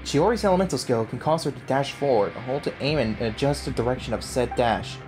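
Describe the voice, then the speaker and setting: A woman's voice: a bass voice, fairly quick. A woman giving casual gameplay commentary as a streamer, slangy and reactive, talking to herself and viewers. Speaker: gaming streamer. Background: game music.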